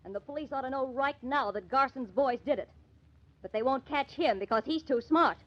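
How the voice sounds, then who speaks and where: A woman playing a pitcher's cynical, pleading girlfriend in an old-time radio crime drama, sarcastic and scolding in American slang. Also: a little fast, highs cut off. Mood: urgent, scolding.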